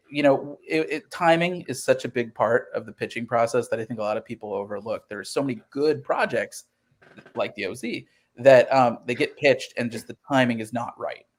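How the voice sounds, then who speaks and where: Earnest man telling his story in an interview. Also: mid-range voice. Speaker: interview guest, comic book writer.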